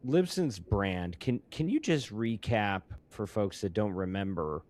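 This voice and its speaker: Low voiced guy hosting a business podcast, stammers a bit finding the question, chatty. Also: expressive, darkish tone. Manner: halting, stammering word repeats while forming questions. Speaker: podcast host. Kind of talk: conversational industry interview.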